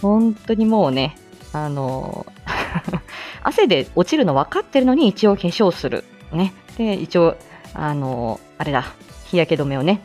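Woman chatting with lively, swooping pitch. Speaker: host of a weekly morning livestream; speech-language therapist. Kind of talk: casual chatty livestream talk. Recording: faint music behind the voice. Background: faint background music.

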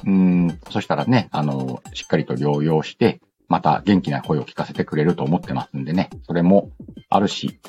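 A man's voice: rumbling low, normal speed, mellow. A man hosting a casual radio-style show, chatting and reading listener letters in a playful, slangy way with self-interrupting asides. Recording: dull lo-fi sound with music behind. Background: background music.

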